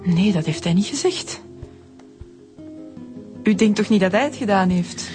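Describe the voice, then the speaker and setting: An actress playing a frank, smitten young Flemish woman being questioned in a radio crime drama, chatty and expressive. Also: medium pitch, unhurried, over music, close to an everyday voice. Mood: puzzled.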